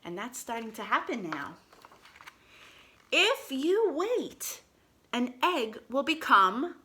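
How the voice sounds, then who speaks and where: A woman with a bright, fairly high voice hosts a storytime for young children. She reads in an expressive, sing-song way and speaks straight to her little listeners in an encouraging manner. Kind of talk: read-aloud storytime with questions to young children.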